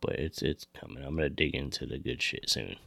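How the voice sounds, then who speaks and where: A man's voice: extremely low pitch, brisk, vocal fry, bright, forceful tone, no echo. A man hosting a podcast in casual, informal chat, swearing freely. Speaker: podcast host.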